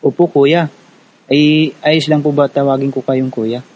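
A man's voice: mid-range voice, fairly even intonation, a little slow, a little mellow, highs cut off, natural voice. A man with a Filipino accent playing an earnest, curious, polite young villager in a radio drama. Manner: respectful, polite. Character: earnest, curious, polite poor rural young man recounting his past. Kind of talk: radio drama acting and narration in Tagalog.

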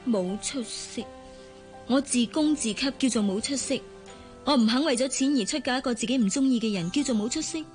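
A woman plays an eighteen-year-old Hong Kong secretary in a radio drama, with a high, girlish voice. She is cheeky, independent and quick to protest, over faint music. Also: darkish tone, lightly acted. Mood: indignant. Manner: pert, teasing, protesting. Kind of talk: Cantonese radio drama acting.

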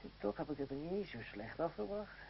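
A man's voice: on the high side, big pitch swings, brisk, gravelly. An actor playing a witty, mocking young man in a vintage radio play, speaking with sardonic theatrical irony. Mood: wry self-reproach. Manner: sardonic, theatrical, talking to himself and others. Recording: muffled, hissy old radio drama recording.

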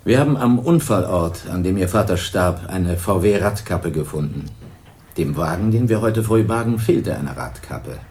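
A low-voiced, measured police detective. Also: male voice, animated, a little mellow. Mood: calm. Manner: measured, official, probing. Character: calm, formal male police investigator. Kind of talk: 1980s radio crime drama.

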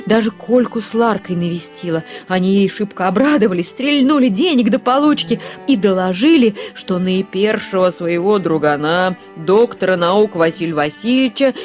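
A woman narrates a short story for radio in an expressive, wry manner. Her voice sits at a medium pitch, and music plays faintly behind her.

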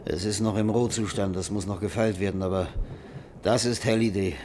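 An actor playing an ailing, proud, irritable older writer in a German radio drama, grumbling and self-mocking in a weary manner. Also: male voice, on the low side, steady tone, fairly quick, natural voice. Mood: demanding, grumbling. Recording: noisy radio drama recording.

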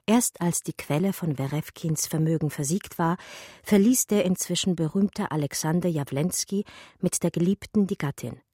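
An actress playing an educated art historian who recounts her love story in a radio drama, measured and eloquent. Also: lowish voice, dark tone, slightly rough, no room sound, studio quality. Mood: sober narrating. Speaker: narrator and lead character. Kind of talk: literary radio drama narration.

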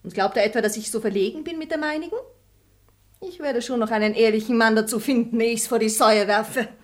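An actress playing a sharp-tongued, coquettish young maid in a radio play. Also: female voice, a little high, fast, natural voice. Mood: pert. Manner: pert, mocking, coaxing.

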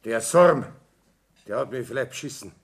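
An actor playing an indignant, coarse employee in a radio play, blustering in a gravelly voice with a Bavarian accent. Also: male voice, medium pitch, animated, normal speed, slight background noise, natural voice. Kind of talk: 1960s German radio play acting.

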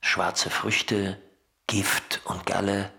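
A man's voice: sits low, level tone, drawn-out words, pushed and bright, heavily acted. A man playing a detached physician in a radio play, reciting clinical facts in a precise, matter-of-fact way. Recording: clear background noise.

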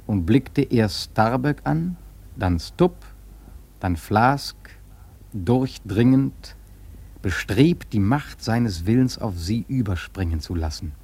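An actor playing a sailor who narrates a whaling voyage in a radio drama, husky, reflective and ominous in measured phrases. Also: male voice, mid-range voice, heavily acted. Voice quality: husky, dark.

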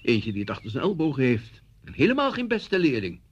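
An older schoolteacher, chatty and complacent, in a radio play. His voice is husky and swings widely in pitch as he muses in a knowing, conversational way. The recording is dull and muffled. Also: huge pitch swings, fast talker. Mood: curious, gossipy. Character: chatty, complacent retired male schoolteacher, reminiscing. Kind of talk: naturalistic radio drama acting.

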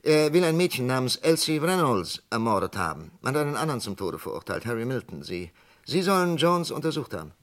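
An actor playing an insistent, impassioned male psychiatrist in a radio play, urgent and halting, with sarcastic rhetorical turns. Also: medium pitch, sing-song, close to an everyday voice.

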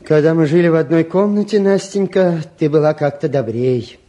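An actor plays a mild intellectual father of middle age. His voice sits a little high and he speaks deliberately and soothingly. The style is that of a vintage Soviet radio play. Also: warm tone, lightly acted. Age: middle-aged. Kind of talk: mid-century Soviet radio-play acting.

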